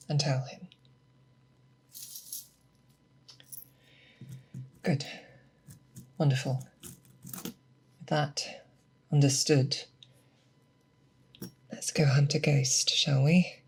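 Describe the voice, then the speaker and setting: A woman playing a seasoned, grave and protective female monster hunter in a slow, hushed, breathy ASMR roleplay, close to the microphone. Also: deep voice, full character voice. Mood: resolute, tender. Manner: slow, deliberate, hushed, with pauses. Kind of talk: ASMR roleplay, close soft speech and whispers. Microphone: close binaural microphone.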